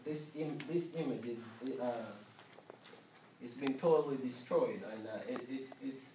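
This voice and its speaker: A man from Uganda with a Ugandan accent giving an informal class presentation, repeating words haltingly as he goes. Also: mid-range voice, dark tone. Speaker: student presenter. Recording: noisy, echoey room, distant mic.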